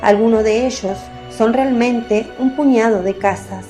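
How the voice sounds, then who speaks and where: A woman narrates from a script in a soft, mellow voice. Her reading is unhurried and descriptive, with faint music underneath. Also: fairly high pitch.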